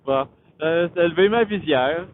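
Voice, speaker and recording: Male motovlogger, Quebec accent, slightly high voice, casual and halting explainer, phone-like helmet intercom sound. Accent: Quebec French. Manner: hesitant, halting, thinking aloud. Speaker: motovlogger, host of his own channel. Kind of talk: casual tech explainer vlog while riding. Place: on a motorcycle outdoors. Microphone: Bluetooth helmet intercom. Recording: muffled phone-like Bluetooth intercom, riding noise.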